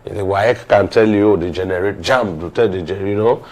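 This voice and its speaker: Nigerian man giving formal, deliberate commentary. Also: on the low side, darkish tone. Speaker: studio guest commentator. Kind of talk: TV panel discussion.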